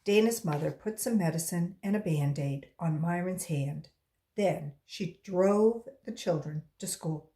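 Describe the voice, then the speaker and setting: A woman reading a children's story aloud in an expressive, deliberate storytelling way. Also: lowish voice. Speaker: volunteer storybook reader.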